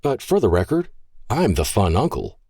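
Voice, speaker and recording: A man's voice: lowish voice, wildly swooping pitch, moderate pace, mellow, husky, dry recording, voice pushed up, heavily acted. A man voicing a joking, wisecracking man who plays the fun uncle of a group. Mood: wry. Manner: wisecracking, dry humor.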